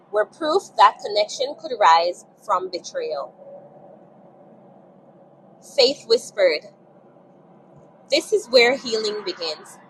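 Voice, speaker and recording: A woman narrating a scripted dramatic story in a solemn, measured manner, in short phrases. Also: fairly high pitch, a bit bright, a little hiss or hum, poor audio.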